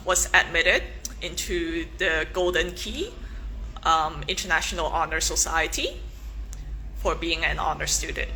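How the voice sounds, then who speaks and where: A young woman from Hong Kong, a native Cantonese speaker, introduces herself on a casual livestream, slowly and with many ums and restarts. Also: on the low side, bright, forceful tone, slight background noise, poor audio. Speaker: pageant contestant.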